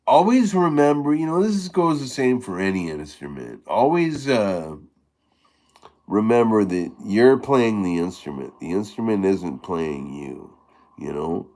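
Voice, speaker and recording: A middle-aged man with a low voice chatting casually about playing harmonica, halting and rambling with many fillers and restarts. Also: big pitch swings, a little mellow. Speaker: harmonica player giving tips. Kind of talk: casual live-stream chat.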